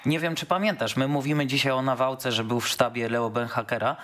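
A man in his thirties discussing football in a TV studio on a headset mic, measured with frequent filler pauses. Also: mid-range voice, fairly even intonation, fairly bright tone. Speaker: studio pundit. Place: sports TV studio.

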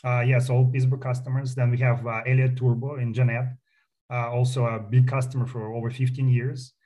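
A man's voice: medium pitch, level tone, brisk, warm tone. Russian-accented man, chatty with fillers. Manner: chatty, many fillers and restarts, proud. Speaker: company founder guest. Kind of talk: casual radio interview. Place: radio studio.

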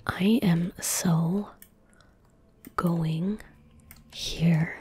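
Whispering young woman, slow ASMR. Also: fairly low pitch, steady tone. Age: young adult. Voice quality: whispery, breathy, unvoiced. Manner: gentle, unhurried, murmured asides to self. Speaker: ASMR gaming streamer. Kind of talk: soft-spoken ASMR game commentary. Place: indoor room at a computer. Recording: faint background noise, game ambience. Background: soft game ambience, faint noise.

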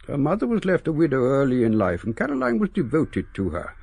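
Sentimental old gentleman, radio play: husky, expressive, eloquent, deliberate. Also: mid-range voice, dry recording, heavily acted. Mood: regretful. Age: older. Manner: theatrical, wistful, deliberate phrasing. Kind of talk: radio drama acting.

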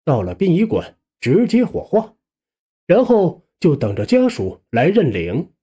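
A man narrating a scripted first-person suspense audiobook in a conversational, expressive way. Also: a little high, a little slow, slightly rough.

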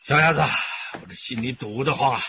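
A fretful, sharp-voiced old father. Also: medium pitch, expressive, brisk, slight background noise. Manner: agitated, fretful, shouting orders. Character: anxious older village father, fretful. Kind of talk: broadcast radio drama acting. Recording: dull lo-fi broadcast audio.

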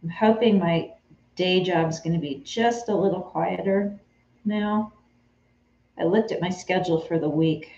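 A chatty woman talking to her viewers while she sews, picked up by a camera a few feet away in a sewing room at home. Her voice sits a little low and sounds roomy and slightly dull. She speaks easily and answers people by name between tasks. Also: mellow, slight background noise. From Texas. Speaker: craft vlogger answering live chat. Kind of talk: casual live-stream chat while sewing. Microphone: camera on a tripod, a few feet away.

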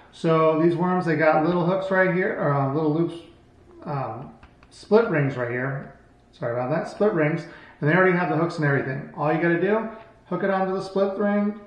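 A man's voice: fairly high pitch, muffled recording. A man in his thirties talking informally and off the cuff as he shows products as a channel host, in a plainspoken, rambling way. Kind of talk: casual product showcase, unscripted vlog talk. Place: small hard-walled room at home, indoors. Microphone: camera mic across a table. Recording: very echoey room, faint background noise.

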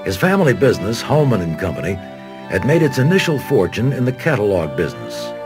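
Documentary narrator: American man, low voice, lively and varied intonation, music bed. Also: fairly quick. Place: studio voice-over. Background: background music.

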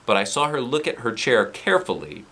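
A man in his forties reading a children's book aloud in an expressive storytelling style, with playful asides. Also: mid-range voice, brisk, breathy edge.

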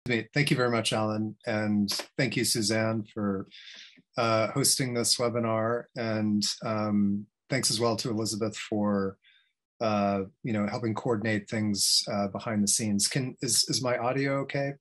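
A man in his forties giving an informal academic webinar talk in a halting, hesitant way over a video call. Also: lowish voice, steady tone. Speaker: academic guest speaker. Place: indoor study with bookshelves. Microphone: video call, computer microphone.

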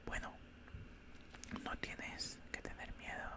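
A man voicing a cold, condescending alien scientist in a whispered ASMR roleplay, speaking in a calm, clinical, breathy whisper close to the microphone. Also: close to an everyday voice. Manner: slow, calm, clinical, faintly menacing. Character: cold, condescending male alien scientist examining a human specimen. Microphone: close-up studio microphone.

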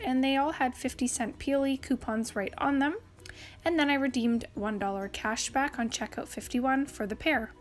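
A woman from Canada narrating a grocery haul as a voice-over, listing prices and savings in a brisk, upbeat way. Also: high-pitched. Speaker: couponing channel host. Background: faint background music.

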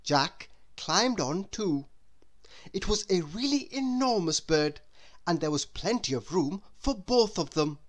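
A woman reading a children's story aloud in a deliberate, expressive way, as if to a young listener. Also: on the low side. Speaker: storybook reader. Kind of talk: scripted children's story narration.

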